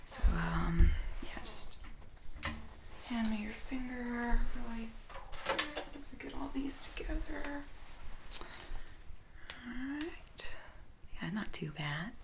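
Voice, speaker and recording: A woman speaking softly and gently as a doctor in an ASMR checkup roleplay, often slipping into a whisper, close to the microphone. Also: a little high, lively intonation, slow pace, long pauses, background noise. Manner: soft-spoken, gentle, often dropping into whisper. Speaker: ASMR performer playing a doctor. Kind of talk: soft-spoken ASMR medical roleplay.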